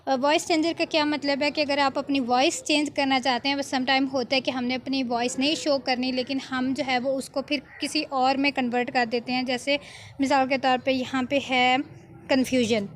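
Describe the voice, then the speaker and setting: A woman giving an informal, step-by-step software tutorial as an editing tutor, often adding small check-in tags. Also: high-pitched, flat delivery, normal speed, slight background noise.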